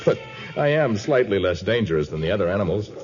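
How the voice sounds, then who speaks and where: An actor playing a bold, righteous jungle hero in a 1950s radio adventure, with formal, precise and imperious diction. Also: male voice, fairly low pitch, big pitch swings, quick pace, over music. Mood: apologetic, concerned. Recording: dull vintage radio recording.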